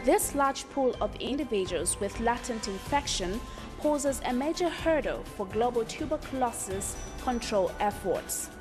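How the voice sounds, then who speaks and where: A woman delivers formal, measured documentary narration in a somewhat high voice. There is a reverberant tail on her voice, and music plays clearly underneath. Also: expressive. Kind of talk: scripted narration.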